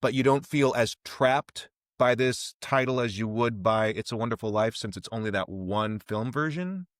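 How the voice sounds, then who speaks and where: A man with a mid-pitched voice hosts a relaxed-format interview. He sounds engaged and enthusiastic in conversation. The recording is dry, with hardly any room sound. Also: moderate pace, no room sound. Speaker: podcast host, interviewer. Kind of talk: casual interview chat.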